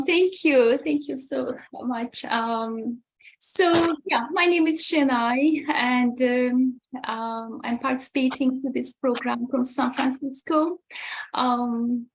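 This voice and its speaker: A woman's voice: sits high, takes it slowly, slight background noise, low-quality recording. A woman from Turkey introducing herself over a video call, hesitant with many fillers. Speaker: presenter. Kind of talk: casual self-introduction.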